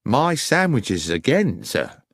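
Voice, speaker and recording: A man's voice: medium pitch, huge pitch swings, slow, no room sound, clean studio sound, voice pushed up, exaggerated voice. A man voicing a polite, wary railway guard in an audiobook, deferential but defensive. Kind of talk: audiobook character acting.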